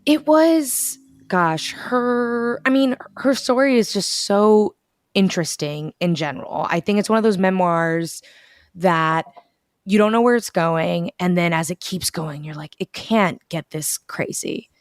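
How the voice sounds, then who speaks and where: A young woman from the United States, talking casually as an interview guest over a video call, telling stories with lively quoted asides. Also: lowish voice, a little slow, no echo, clean studio sound. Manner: chatty, animated storytelling with quoted asides. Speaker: bookstore events director, guest.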